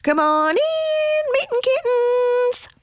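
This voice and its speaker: A woman's voice: medium pitch, sing-song, unhurried, a little mellow, dry recording, studio quality. A woman voicing a bossy but affectionate mother cat in a coaxing, sing-song manner. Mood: inviting.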